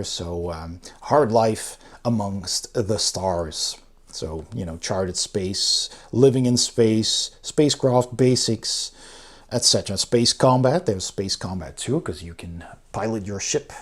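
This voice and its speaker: A man's voice: lowish voice, a little mellow. A man reviewing in a casual, informal and enthusiastic way, talking through the material as he goes. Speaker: board game reviewer.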